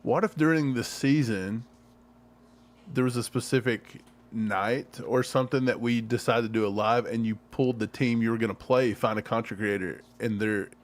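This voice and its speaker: A man's voice: mid-range voice, moderate pace. A man co-hosting a casual live sports podcast stream, making dry, offhand jokes. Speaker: podcast co-host.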